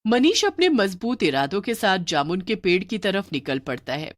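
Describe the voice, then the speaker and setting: A woman's voice: mid-range voice, sing-song, normal speed. A woman reading a scripted story as its narrator.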